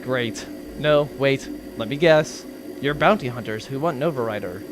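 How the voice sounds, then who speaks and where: An actor playing a sarcastic, unimpressed pirate accountant with a deadpan, rambling delivery in a comedic audio drama. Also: male voice, medium pitch, normal speed, background noise, lightly acted.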